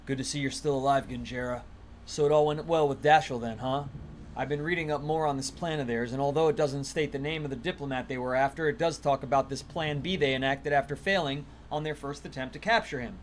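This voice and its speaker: A man voicing a serious male spymaster, reading quest orders in a measured, businesslike way. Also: medium pitch, a little hiss or hum, voice pushed down, natural voice. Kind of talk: reading game quest text aloud in character.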